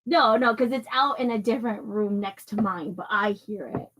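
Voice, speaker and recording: A young woman playing a tabletop game, chatting casually and playfully in a giggly, animated manner with little acted asides. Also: mid-range voice, moderate pace, slight background noise.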